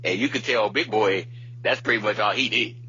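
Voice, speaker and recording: A man's voice: on the low side, brisk, sharp tone. A man co-hosting a casual music podcast, talking in a rambling, slangy, profane way. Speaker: podcast co-host. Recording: muffled lo-fi podcast audio with hum.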